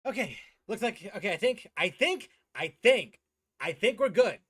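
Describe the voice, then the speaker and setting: A man's voice: high-pitched, big pitch swings, moderate pace, fairly bright tone, slightly rough. A man chatting casually on a gaming livestream in a hesitant, apologetic way, often repeating his phrases. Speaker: game livestreamer. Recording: game music behind the voice. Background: video game music.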